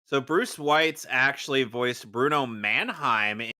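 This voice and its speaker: A man with a bright, cutting voice of average pitch talks casually as a show host. He comments along in a loose, conversational style. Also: slow.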